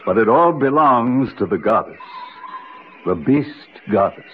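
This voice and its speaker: Actor as animated, earnest archaeologist uncle. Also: male voice, mid-range voice, a little slow, lightly acted. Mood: earnest warning. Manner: hesitant, explanatory. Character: cautious, kindly older archaeologist uncle, learned and earnest. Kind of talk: old radio drama acting. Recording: noisy, narrowband old radio recording.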